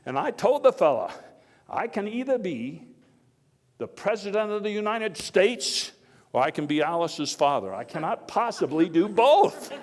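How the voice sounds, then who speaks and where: A man's voice: sits high, big pitch swings. Man playing a folksy old-time statesman in an echoey hall. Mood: wry. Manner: folksy, halting, with oratorical emphasis and wry asides. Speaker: historical reenactor. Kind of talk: live first-person historical monologue, speech to an audience. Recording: echoey hall with long reverb.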